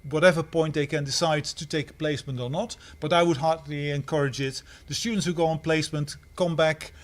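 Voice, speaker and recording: A man from the Netherlands with a slightly high voice speaks as a head of school. He talks haltingly, stopping and restarting his phrases often. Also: fairly even intonation. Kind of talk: answering questions on a livestream.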